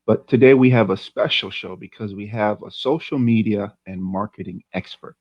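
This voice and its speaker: A man with a lowish voice co-hosts a casual podcast, asking long, rambling questions in an easygoing, chatty way. The sound is dry and a bit dull, like a lo-fi recording. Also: normal speed, dry recording. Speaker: podcast co-host. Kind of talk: casual interview hosting.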